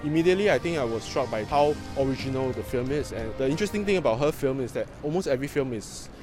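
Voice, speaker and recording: Fast-talking man over music. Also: mid-range voice, lively intonation. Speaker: interviewee commenting on a filmmaker. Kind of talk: interview commentary.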